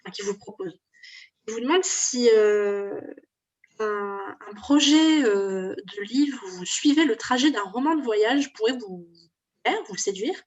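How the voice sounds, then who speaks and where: A woman moderating an online talk, reading out audience questions in halting phrases, heard over a video call. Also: medium pitch, lo-fi sound. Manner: halting, with fillers.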